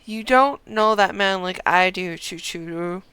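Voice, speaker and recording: Woman reading as an earnest, slow-spoken male fighter. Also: lowish voice, bright, forceful tone, smooth, dry recording. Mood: pleading. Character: earnest male refugee fighter, devoted to his ailing master.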